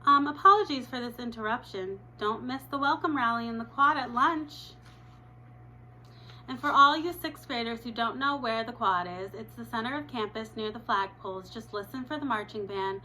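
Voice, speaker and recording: A woman voicing a cheerful school loudspeaker announcer with a peppy, upbeat delivery. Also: on the high side, normal speed, slight background noise, poor audio.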